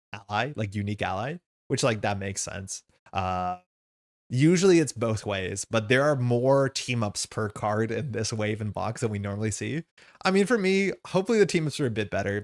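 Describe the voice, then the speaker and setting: A man's voice: lowish voice, vocal fry, dry recording, clean studio sound. A man giving casual live stream commentary as he plays a card game, rambling and thinking aloud. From Canada.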